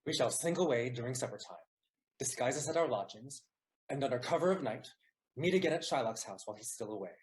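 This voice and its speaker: A man playing an ardent young gentleman lover and schemer in a Shakespearean audio play. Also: medium pitch, animated, fast, close to an everyday voice, poor audio. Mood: scheming.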